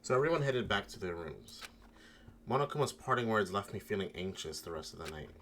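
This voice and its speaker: A man's voice: lowish voice, very animated, a little fast, sharp tone, a little hiss or hum. A man streaming a game, switching between first-person narration read aloud and casual banter.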